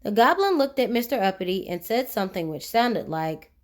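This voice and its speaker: A woman's voice: mid-range voice, big pitch swings, brisk, darkish tone. A woman narrating a children's storybook expressively, putting questions to young listeners. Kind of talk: animated read-aloud narration of a children's storybook.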